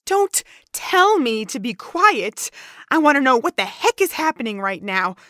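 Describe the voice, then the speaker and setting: A woman's voice: high-pitched, big pitch swings, measured pace, sharp tone, no room sound, studio quality, exaggerated voice. A young woman acting a frightened, indignant young woman in an amateur audio drama. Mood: angry, demanding.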